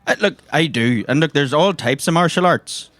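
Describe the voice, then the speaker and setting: A man as a high-pitched, excitable Irish sensei. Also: normal speed, no room sound, lightly acted. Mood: blustering, proud. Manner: blustering, insistent, colloquial. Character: excitable, cocksure male amateur self-defence sensei.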